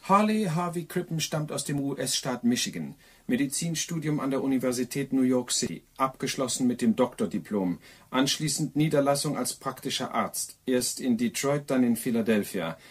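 An actor in a German radio play playing a dutiful, literal-minded police sergeant, clipped, deferential and matter-of-fact. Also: male voice, medium pitch, moderate pace, husky, lightly acted.

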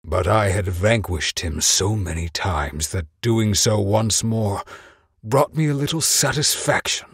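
An actor playing a grave, proud, aging knight master in a video game, dramatic, halting and deliberate. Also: male voice, fairly low pitch, slightly rough, no echo, studio quality. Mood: grim, menacing.

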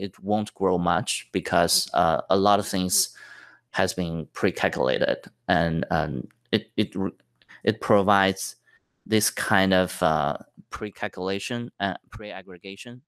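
A man's voice: low-pitched, clear, resonant tone, dry recording. A man giving a technical conference talk over slides, explaining step by step with many fillers and restarts. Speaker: tech presenter.

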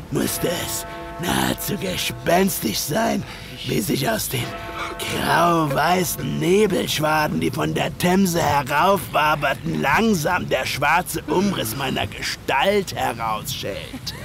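Slow, high-pitched cold killer. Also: male voice, sing-song, vocal fry, heavily acted. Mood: menacing, measured. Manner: measured, dramatic, deliberate. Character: cold, deliberate male ex-soldier killer recounting murder. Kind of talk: scripted first-person audio drama narration. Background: music.

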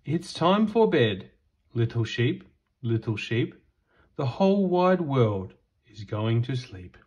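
A man reading a children's bedtime picture book aloud in a gentle, singsong rhythm. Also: medium pitch, sing-song, takes it slowly, slightly rough, dull audio.

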